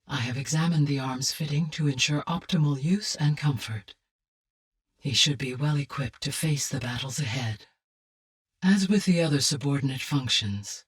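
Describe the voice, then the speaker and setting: A woman playing a calm, analytical artificial intelligence in a video game, speaking low and huskily with measured, formal, precise diction. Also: breathy edge, recorded from a distance, exaggerated voice. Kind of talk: scripted video game dialogue.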